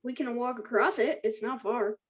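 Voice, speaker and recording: An eager, persuasive boy of fourteen acted out by a woman at a table read. The voice sits fairly high and comes through a dull, lo-fi recording. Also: fairly even intonation, normal speed, husky.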